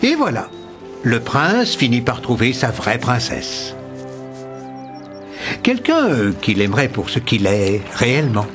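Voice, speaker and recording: A man's voice: mid-range voice, big pitch swings, unhurried, pauses often. A man playing a playful fairy-tale storyteller narrating a cartoon. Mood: amused, conclusive. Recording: music and crowd noise behind.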